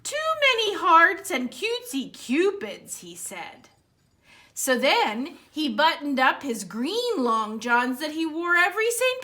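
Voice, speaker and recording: A woman reading a children's picture book aloud as a storytime host, with expressive, dramatic emphasis and a way of speaking directly to young listeners. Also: sits high.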